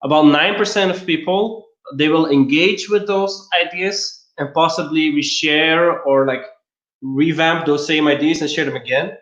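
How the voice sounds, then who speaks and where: A man's voice: on the high side, a little slow, fairly bright tone. A man from Belgium, explaining things casually and step by step as an interview guest. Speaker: interview guest, LinkedIn marketer. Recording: roomy, slightly dull online-call audio.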